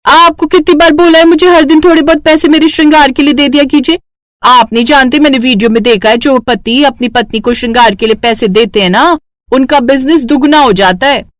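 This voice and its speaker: A woman's voice: high-pitched, brisk, no room sound, studio quality, voice pushed up, natural voice. A woman voicing a vain, nagging young village wife in a story cartoon, whining and insistent. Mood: scolding. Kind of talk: animated Hindi moral-story cartoon voice acting.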